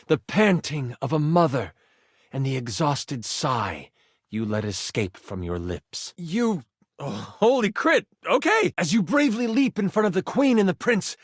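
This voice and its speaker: An excitable male game master tells a fantasy tabletop tale for a video game. His fairly high voice swings widely in pitch as he builds suspense with theatrical flair. The sound is dry and close. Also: dry recording, exaggerated voice. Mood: somber, hushed, then tense. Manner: theatrical, expressive, savoring suspense. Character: personified twenty-sided die, an excitable male game master. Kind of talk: dramatic tabletop fantasy narration.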